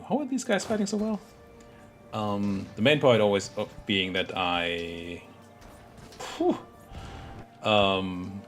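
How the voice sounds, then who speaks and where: A man with a slightly hoarse voice from a cold talks through his strategy game in a low voice that swings up and down a lot. He mutters his thoughts aloud with fillers and restarts, and soft game music plays faintly behind him. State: has a cold, voice a bit hoarse. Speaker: let's play gaming commentator. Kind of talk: casual live gameplay commentary.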